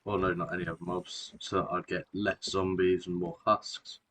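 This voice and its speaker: Deep-voiced man with a sore throat. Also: animated, moderate pace, gravelly, a bit bright. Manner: rambling, self-correcting, many fillers. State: sore throat, clearing throat and coughing. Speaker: Minecraft video creator. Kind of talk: casual gameplay narration.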